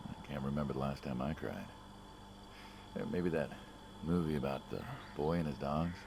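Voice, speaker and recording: A man's voice: rumbling low, moderate pace, vocal fry, background noise. An actor playing a wry city hitman and reluctant friend in a game, conversational and a little hesitant. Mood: subdued, sympathetic. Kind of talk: naturalistic dramatic game dialogue.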